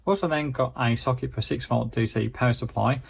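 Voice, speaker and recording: Gravelly man reviewing a product. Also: mid-range voice, fast. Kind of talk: casual product review and demonstration.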